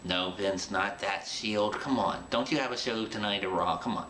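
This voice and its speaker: A man's voice: on the low side, moderate pace, bright, forceful tone, faint music behind. A man hosting an interview show, talking in a chatty, informal way. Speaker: host. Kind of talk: podcast interview and show introduction. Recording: roomy echo with clear background noise.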